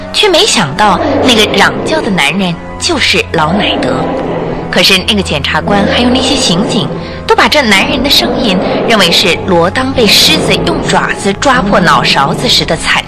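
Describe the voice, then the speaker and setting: A woman narrating a radio detective drama in a dramatic, expressive storytelling style from a script. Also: mid-range voice, normal speed. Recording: music under the voice.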